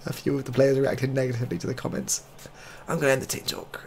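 A man's voice: medium pitch, steady tone, fast talker, gravelly, a little mellow, a little hiss or hum. A man in his thirties giving casual, joking game commentary, muttering in mock exasperation with mild swearing and repeated phrases. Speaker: gaming video creator.

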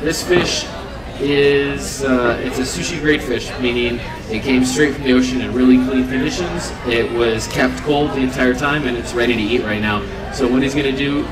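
A man's voice: medium pitch, moderate pace, over music. A man in his thirties giving an earnest, unscripted demo talk to an audience at an outdoor event, with many fillers and restarts. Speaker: seafood company representative. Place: outdoor event stage under trees. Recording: roomy sound from far off with crowd.

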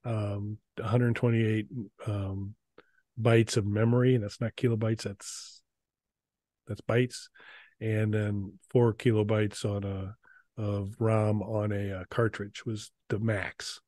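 A middle-aged man co-hosting a gaming show in casual, halting, rambling and wry conversation. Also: on the low side, little pitch movement, dark tone, no room sound. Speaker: co-host of a retro gaming show. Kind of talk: casual unscripted chat. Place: small indoor room.

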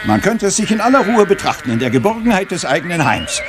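An actor playing a fussy, courteous detective in a German radio crime drama, with a pompous, polite manner. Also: male voice, sits high, big pitch swings, quick pace, noisy background. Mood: fussy, disdainful. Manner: polite, pompous, peppered with French words. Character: fussy, courteous Belgian detective, middle-aged man.

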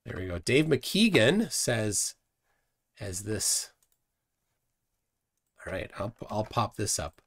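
A middle-aged man hosting a casual livestream from a room at home, scornful and animated, often trailing off quietly. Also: fairly low pitch, takes it slowly, long pauses. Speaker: host.

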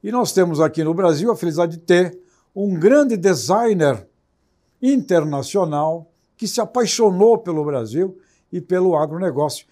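A man's voice: high voice, animated, normal speed, dark tone. An older man with a Brazilian accent hosting a broadcast interview in an effusive, emphatic way. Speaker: interview host. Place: indoor broadcast studio.

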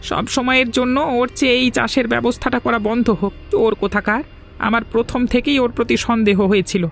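Sneaky cartoon henchman, really high n quick, sucking up to the boss, music behind. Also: level tone, gravelly, fairly bright tone, heavily acted. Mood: accusing. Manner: insinuating, eager. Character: scheming, flattering henchman of a village boss. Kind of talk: Bengali cartoon dubbing. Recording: music under the voice.

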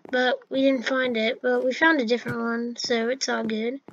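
A woman's voice: a little high, flat delivery, moderate pace, muffled recording, noisy background. A woman giving casual, chatty commentary over her own gameplay, with fillers and restarts. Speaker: gameplay narrator.

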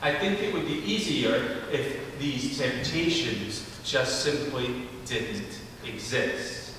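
Bright-voiced pastor in an echoey church. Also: male voice, mid-range voice, a little hiss or hum. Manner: measured, reads scripture then tells a story with animated character voices. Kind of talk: sermon with a told joke. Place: large church sanctuary, speaking from a pulpit. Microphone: pulpit mic, camera at the back. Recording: echoey church hall from far off.

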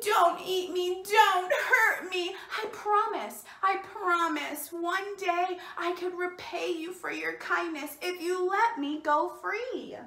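A woman voicing a tiny, timid storybook mouse that squeaks and pleads earnestly, eager to help. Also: slow, hardly any pauses, fairly bright tone, reverberant, full character voice. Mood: scared, pleading. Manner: pleading, repeating words.

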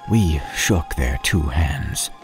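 A man's voice: extremely low pitch, lively intonation, takes it slowly. A man narrating a storybook aloud in a dramatic, eerie style. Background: music and rain.

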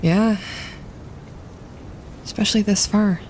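An actress playing a practical, understated wildlife photographer on a winter camping trip, speaking naturally with hesitant pauses in an audio drama. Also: female voice, medium pitch, steady tone, a little mellow, slight background noise, close to an everyday voice. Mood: casual, subdued.

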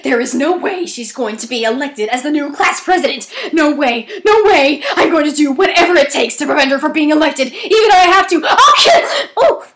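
A woman's voice: high-pitched, lively intonation, fairly quick, few pauses, fairly bright tone. A woman voicing a jealous, scheming cartoon schoolgirl with a dramatic, girlish delivery. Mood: jealous outrage.

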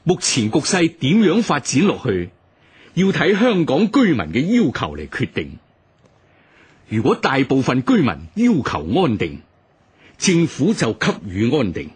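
Man doing a stern cantonese government official, very raspy, big swings in pitch, formal radio proclamation. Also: wildly swooping pitch, a little slow, exaggerated voice. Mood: stern warning. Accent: Hong Kong Cantonese. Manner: solemn, official, declamatory. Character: stern colonial government official warning the public.

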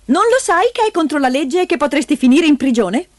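Woman as a high-voiced, bossy scolding aunt. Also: very animated, no echo. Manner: brisk, insistent. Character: bossy, scolding, inflexible aunt in a plumed hat.

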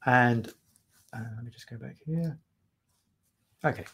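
A man's voice: medium pitch, measured pace, vocal fry, fairly bright tone, slightly rough. A middle-aged man giving a keynote lecture over slides on a video call, full of ums and restarts. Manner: hesitant, many ums and restarts. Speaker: keynote speaker. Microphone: webcam on video call.

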